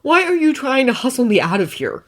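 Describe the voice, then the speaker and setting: A woman's voice: high-pitched, sing-song, a little fast, fairly bright tone, pitched above the performer's own voice, exaggerated voice. A woman reading a story voices a sarcastic, defiant male inventor who drinks hard. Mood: sardonic.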